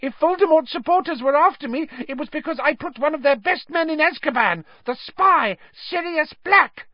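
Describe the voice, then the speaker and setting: A man reading an audiobook story, voicing a cowardly, cornered male traitor in a shrill, squealing, panicky, pleading voice. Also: expressive, normal speed, dry recording, highs cut off. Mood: frantic squealing. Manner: panicky, pleading, stammering protests.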